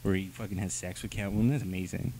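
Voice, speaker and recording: A man's voice: sits low, steady tone, quick pace, dark tone, husky. A man hosting a comic book review in casual unscripted chat, swearing and exasperated as he acts out mock quotes.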